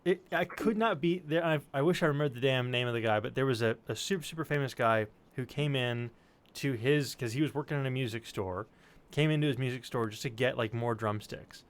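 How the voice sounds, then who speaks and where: A man's voice: mid-range voice, fairly quick. A man in casual chat, telling anecdotes and acting out what people said, with easy informal swearing.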